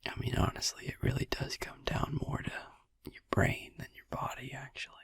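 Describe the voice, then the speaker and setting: A man playing a soothing vampire boyfriend in an ASMR sleep-aid roleplay, musing gently in a hushed, breathy whisper close to the microphone. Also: dry recording, lightly acted. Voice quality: breathy whisper with gravelly creak. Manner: slow, hushed, gently musing with long pauses. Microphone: close microphone, dry.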